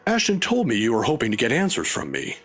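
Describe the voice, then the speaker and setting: A man voicing a gentle, courteous old male professor in a read-aloud horror visual novel who speaks politely and softly. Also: mid-range voice, wildly swooping pitch, quick pace. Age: older. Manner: polite, soft-spoken, chuckling lightly.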